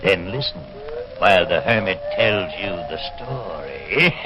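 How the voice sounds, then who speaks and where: Slow, cackling old hermit. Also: male voice, low voice, sing-song, loud music behind the voice, heavily acted. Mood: gleefully menacing. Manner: slow, drawn-out, eerie, cackling. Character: sinister cackling old male hermit telling ghost stories. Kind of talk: 1940s radio horror acting. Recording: very noisy muffled 1940s radio recording.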